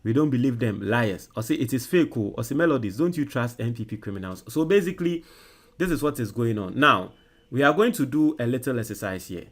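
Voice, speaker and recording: A man from Ghana with a Ghanaian English accent who hosts a political show on social media. He reads viewer comments forcefully, then dictates an email slowly while he types. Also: medium pitch, animated. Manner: loud and emphatic when reading comments, quiet and halting while typing.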